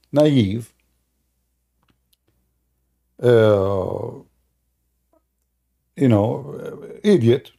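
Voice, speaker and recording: Man, English, mid pitch, dark relaxed tone, casual commentary. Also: vocal fry. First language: Arabic. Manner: loose, talky storytelling with non-native grammar and frequent fillers. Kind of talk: casual commentary while showing a video on screen.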